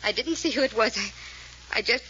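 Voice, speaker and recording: An actress playing a frightened young woman in a 1940s radio mystery, halting and emotional, on a muffled old recording. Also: on the high side, background noise, close to an everyday voice. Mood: shaken.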